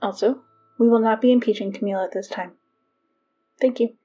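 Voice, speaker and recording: A woman's voice: fairly high pitch, steady tone, warm tone, husky, soft background music. Formal schoolgirl announcer, muffled sound. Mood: measured, businesslike. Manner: measured, formal, matter-of-fact. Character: earnest, officious high school girl reading morning announcements. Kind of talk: scripted announcements in an audio drama.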